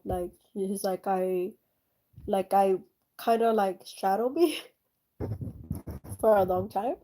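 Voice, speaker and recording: Art-channel host, woman, slight German accent, mellow tone, rambling and chatty. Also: medium pitch, low-quality recording. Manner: rambling, many fillers and restarts, chuckles. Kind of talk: casual rambling chat while painting.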